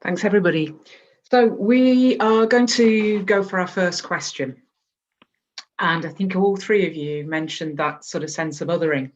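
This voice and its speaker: A woman hosting and moderating a discussion on a video call, gentle and hesitant, with frequent fillers. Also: on the low side, moderate pace, dull audio. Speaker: host and moderator.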